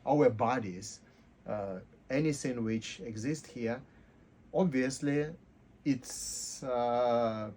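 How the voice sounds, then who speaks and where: Mellow man, explaining with halting reasoning. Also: mid-range voice, slight background noise. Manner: halting, rhetorical, often ends points with "right?". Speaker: interview guest. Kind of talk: casual philosophical explanation.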